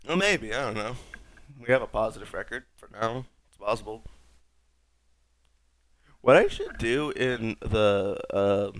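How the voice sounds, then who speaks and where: A young man with a raspy voice, sick, rambling wryly through casual gameplay commentary as a streamer. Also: on the low side, expressive, measured pace, pauses often, no room sound. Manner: rambling, wry, self-mocking. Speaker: gaming streamer.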